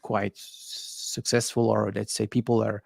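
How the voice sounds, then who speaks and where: Guy in his 30s, a bit gravelly, halting english, dry close sound on a video call. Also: lowish voice, little pitch movement, darkish tone, dry recording. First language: French. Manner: halting, non-native phrasing, laughs easily. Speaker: livestream host. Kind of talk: casual chat.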